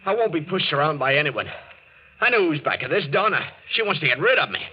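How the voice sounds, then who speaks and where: A man's voice: fairly high pitch, very animated, quick pace, husky. An actor in 1940s radio style playing a drunken, groggy, self-pitying man who stammers defensively. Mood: bitter, defiant. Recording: muffled, noisy old radio broadcast.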